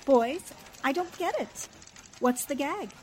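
An actress playing a clever young newspaper columnist with a breezy, unruffled manner in a radio drama. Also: female voice, high voice, expressive, slow, a little mellow. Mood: puzzled. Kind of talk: 1940s radio drama acting.

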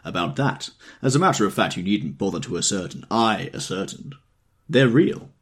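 A man voicing a stout, drunk middle-aged man who talks excitedly and insistently. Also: lowish voice, moderate pace, slightly rough, exaggerated voice.

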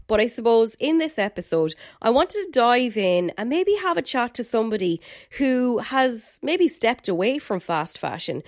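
An Irish woman hosting a podcast in a chatty, enthusiastic way, her voice a little croaky from a head cold. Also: medium pitch, dry recording, studio quality. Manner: chatty and enthusiastic, with filler words. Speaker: podcast host. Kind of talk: casual podcast chat.

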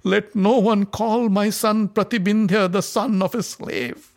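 A man's voice: sits high, steady tone, unhurried, pitched above the performer's own voice. A man voicing a dignified young queen who pleads earnestly. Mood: pleading.

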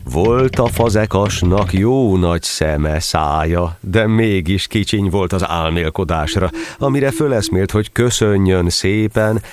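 A man narrating a verse audio drama as a dramatic storyteller, theatrical and expressive. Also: deep voice, hardly any pauses, background noise. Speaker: narrator and actor.

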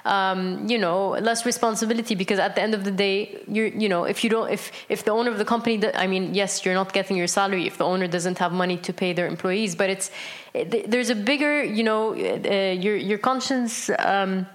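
A woman's voice: medium pitch, brisk. A Palestinian woman, a business founder, answering interview questions earnestly, with many fillers and restarts.

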